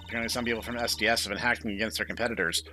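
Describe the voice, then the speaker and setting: A man's voice: on the low side, steady tone, very fast, sharp tone, a little hiss or hum. A man voicing an earnest, friendly, responsible young woman programmer in a visual novel. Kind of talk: visual novel read-aloud.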